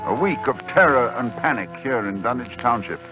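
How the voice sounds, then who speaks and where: An actor playing an urgent, dread-filled scholarly doctor in a dramatic 1940s radio horror play. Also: male voice, mid-range voice, expressive, brisk, close to an everyday voice. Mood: grim dread. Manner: dramatic, intense delivery. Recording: muffled 1940s radio recording, music behind.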